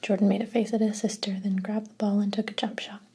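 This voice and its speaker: A woman reading a children's story aloud in a steady, soft whisper. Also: medium pitch, fast, breathy edge. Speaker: narrator.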